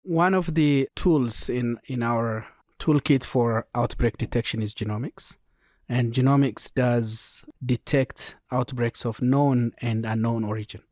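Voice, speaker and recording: A man with an Ethiopian accent, explaining as an expert guest in a podcast interview in a measured way. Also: mid-range voice, fairly even intonation, a little mellow, no echo, clean studio sound. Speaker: genomics programme lead, interview guest.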